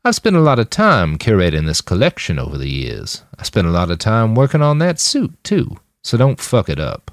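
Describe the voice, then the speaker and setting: A man voicing a smug, amused, amoral male warmonger in an audiobook, self-satisfied and persuasive. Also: lowish voice, wildly swooping pitch, normal speed, hardly any pauses, no echo, clean studio sound, pitched above the performer's own voice. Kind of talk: audiobook character voice.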